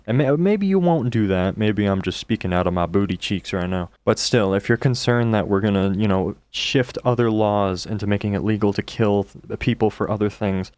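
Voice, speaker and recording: A man hosting a reaction commentary video, talking casually in a deep, monotone voice. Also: no echo. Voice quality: deep and monotone from just waking. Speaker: commentary channel host.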